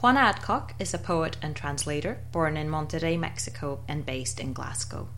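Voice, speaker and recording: A low-pitched female host introduces her guests and asks them questions in an easy conversational manner. Faint room ambience sits underneath. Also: expressive, moderate pace, a little hiss or hum. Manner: warm, enthusiastic introductions. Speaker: podcast host. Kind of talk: scripted intro and interview questions.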